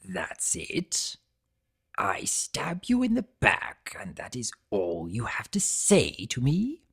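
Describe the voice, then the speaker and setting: A man's voice: mid-range voice, huge pitch swings, takes it slowly, vocal fry, fairly bright tone, slightly rough, exaggerated voice. A man voicing an ancient, weakened centaur villain in a story, bitter and enraged, snarling and muttering his words. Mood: wary, hushed.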